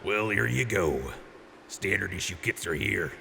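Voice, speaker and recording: A very low, gravelly voice in a noisy, echoing audio drama mix. a generous, boisterous wasteland quartermaster who talks up gear like a hyped showman. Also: measured pace. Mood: hyped, proud. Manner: hyped showman with booming catchphrase outbursts. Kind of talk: post-apocalyptic audio drama acting.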